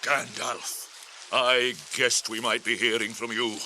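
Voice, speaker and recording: An actor in a radio drama playing an ancient, grave tree creature who speaks ponderously with drawn-out hums. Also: male voice, medium pitch, little pitch movement, creaky, pushed and bright, slight background noise, exaggerated voice. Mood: pleased. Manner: ponderous, deliberate, halting. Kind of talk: 1980s radio drama acting.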